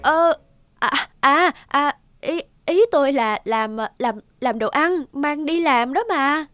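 A woman's voice: sits high, slow, fairly bright tone, no room sound. A woman voicing an awkward, hesitant, educated single woman in her forties in a cartoon. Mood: flustered. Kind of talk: cartoon voice acting. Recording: music behind the voice.

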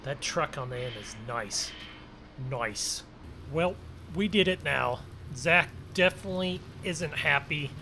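Man, gaming host, fairly high voice, unhurried pace, folksy exclamations, casual role-play commentary. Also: animated, creaky, sharp tone, a little hiss or hum. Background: game vehicle and ambience sounds.

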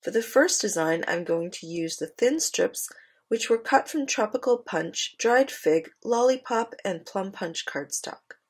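Woman doing a craft tutorial voiceover, bit husky, mid pitch, step by step explaining. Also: animated, measured pace. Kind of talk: instructional voice-over narration of a card-making demo.

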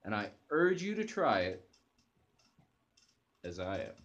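A man's voice: lowish voice, sing-song, slow pace, a bit bright, lo-fi sound. A young man hosting a casual coffee review to camera from his living room sofa, talking enthusiastically with hesitant restarts and fillers, recorded on a close webcam. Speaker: channel host reviewing coffee. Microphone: webcam at close range.

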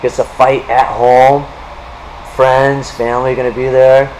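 A man's voice: medium pitch, flat delivery, measured pace, a little mellow, a little hiss or hum, low-quality recording. A young man hosting and interviewing a fighter in a large indoor gym, hyping him up with enthusiasm. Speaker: interviewer and show host. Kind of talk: casual interview.

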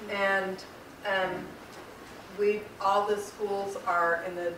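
A woman's voice: mid-range voice, level tone, drawn-out words. A woman explaining an item as a presenter at a meeting. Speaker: school district presenter. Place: indoor public board meeting room. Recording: very echoey room, distant, noisy.

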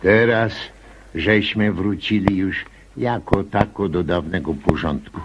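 An actor playing a deferential, folksy, rambling household servant in an old-fashioned radio play. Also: male voice, sits low, slow pace, dull audio, heavy background noise. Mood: chatty, complaining. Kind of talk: radio drama acting.

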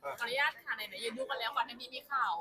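A woman's voice: a little high, fast, background noise. A woman reporter asking casual questions at a press event, with some crowd sound around her. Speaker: entertainment reporter. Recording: light crowd chatter behind.

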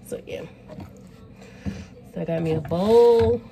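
A woman's voice: medium pitch, takes it slowly, creaky, background noise. Woman casually talking through her cooking. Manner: relaxed, talks through each step with fillers like "like" and "so yeah". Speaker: cooking vlogger. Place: home kitchen, indoors. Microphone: camera on the counter facing her torso.

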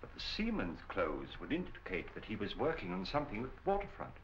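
An actor playing a courteous, shrewd gentleman detective in a measured, articulate 1940s film style. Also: on the low side, huge pitch swings. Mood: grave, helpful. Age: 50s. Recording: muffled, hissy 1940 film soundtrack.

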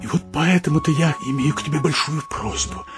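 A man's voice: fairly high pitch, fairly even intonation, fairly quick, music in the background, lightly acted. An actor playing a weak-willed, self-pitying elderly provincial father in a radio drama, hesitant and sighing. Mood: pleading.

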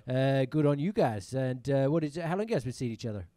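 A man's voice: medium pitch, lively intonation, quick pace, dark tone, no room sound. A young man with a mixed British and American accent doing stand-up crowd work on a comedy club stage, teasing the audience and swearing, speaking into a handheld mic.

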